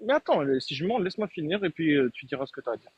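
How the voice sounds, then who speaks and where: A man's voice: on the high side, very animated, very fast, muffled recording, a little hiss or hum. A man making a point in an online debate, explaining his argument hesitantly with many fillers. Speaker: debate participant.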